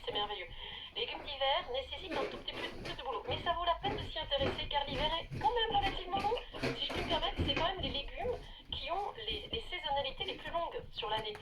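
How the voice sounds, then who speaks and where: Higher-pitched chatty woman, noisy background. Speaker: food guest on radio show. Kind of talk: casual radio discussion. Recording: radio sound with clear background noise.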